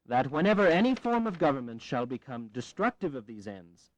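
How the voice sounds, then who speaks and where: A man's voice: medium pitch, big pitch swings, brisk, no room sound, lo-fi sound, lightly acted. An actor playing an articulate founding father in a historical audio drama, declaiming formally. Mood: solemn.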